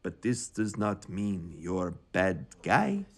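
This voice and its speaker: Burly cartoon Russian wrestler villain, low and very slow, grave and reflective in broken English. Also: male voice, huge pitch swings, slightly rough, exaggerated voice. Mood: solemn, reflective. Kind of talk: animated film voice acting.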